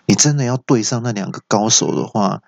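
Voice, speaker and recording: A man's voice: on the low side, fairly quick, creaky, no echo. A man voicing a boastful, scheming male mountain demon who draws out his words in a wheedling way.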